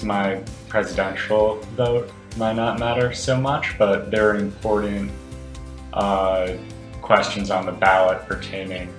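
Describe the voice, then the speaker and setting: A man's voice: low-pitched, fairly bright tone. A young man from New York City, a student answering hesitantly with many fillers. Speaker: college student. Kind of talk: interview answers. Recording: roomy echo, music underneath.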